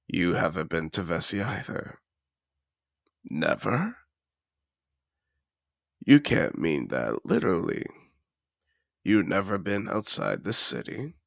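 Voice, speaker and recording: A man playing a cultured, possessive dragon disguised as a human, effusive and refined, in a gravelly-voiced audio roleplay addressed to the listener. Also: low voice, fairly bright tone, dry recording, exaggerated voice. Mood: intrigued, flattering. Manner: refined, effusive, theatrical phrasing.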